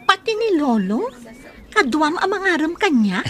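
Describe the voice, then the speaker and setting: An actress playing a sweet, bashful young woman in a radio drama, with a coy, drawn-out delivery. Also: sits high, big pitch swings.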